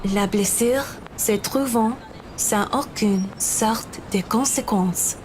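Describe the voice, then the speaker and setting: A woman playing a composed professional in a visual novel, firm, formal and measured in a businesslike way. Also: a little high, background noise. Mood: composed, reserved.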